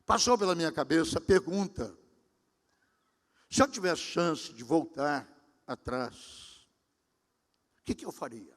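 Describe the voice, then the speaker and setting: A man's voice: on the high side, lively intonation, unhurried, pauses often. A pastor in his sixties giving a sermon, talking conversationally and speaking directly to his congregation. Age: 60s. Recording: long hall reverb.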